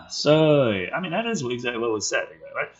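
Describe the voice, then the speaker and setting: A husky-voiced man reading a script aloud dramatically, with exasperated, sweary commentary as host. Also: mid-range voice, sing-song, unhurried, highs cut off. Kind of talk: animated script read-aloud with profane commentary.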